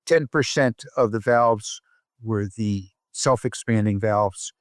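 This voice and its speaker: A man speaking as a physician researcher in an interview, explaining findings in a measured, methodical and precise way. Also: on the low side, no room sound, clean studio sound.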